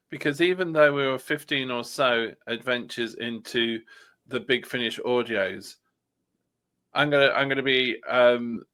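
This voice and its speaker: A man talking casually as a podcast panellist, sometimes pausing to search for his words. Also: medium pitch, pushed and bright. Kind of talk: casual fan discussion.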